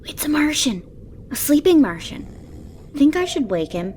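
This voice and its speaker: Woman playing a high-voiced, curious young boy. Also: big pitch swings, moderate pace, darkish tone, slight background noise. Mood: excited curiosity. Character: curious, bold young Earth boy Billy. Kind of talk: comic audio drama.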